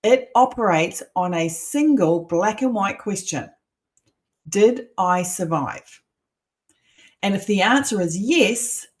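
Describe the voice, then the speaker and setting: Kiwi lady coach, middle-aged, punchy persuasive masterclass talk, lots of questions to the viewer. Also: mid-range voice. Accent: New Zealand. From New Zealand. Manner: emphatic, persuasive, rhetorical questions. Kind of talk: online masterclass teaching.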